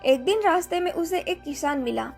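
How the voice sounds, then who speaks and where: A woman's voice: very high-pitched, lively intonation, moderate pace, creaky, darkish tone, soft background music. A woman reading scripted moral stories for children as a narrator. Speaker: story narrator.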